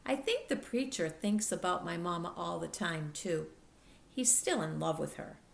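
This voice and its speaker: A woman's voice: on the low side, expressive, moderate pace, vocal fry, echoey room. A woman voicing an earnest, lonely ten-year-old girl who confides and pleads emphatically in a storybook. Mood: wistful.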